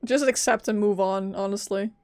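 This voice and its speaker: A woman streaming a game, reacting casually with expressive, exclamatory commentary. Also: medium pitch, moderate pace. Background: game music.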